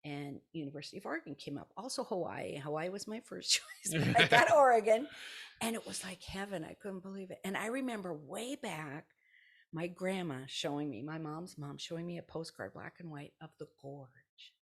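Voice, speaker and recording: A woman's voice: lowish voice, expressive, normal speed, creaky, breathy edge. An American woman in her sixties from South Dakota tells personal stories as an interview guest, rambling conversationally and often interrupting herself to quote people. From South Dakota, United States. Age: 60s. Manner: rambling, self-interrupting, anecdotal with quoted dialogue. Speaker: podcast guest, retired kindergarten teacher. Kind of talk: casual conversational storytelling.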